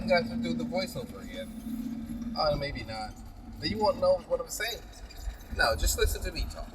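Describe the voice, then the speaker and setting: A man's voice: mid-range voice, fairly bright tone, recorded from a distance, slight background noise, poor audio. A man who is a plumber, giving a rambling, teasing voice-over for a do-it-yourself repair video and talking straight to the viewer. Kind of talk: joking DIY voice-over. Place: small bathroom.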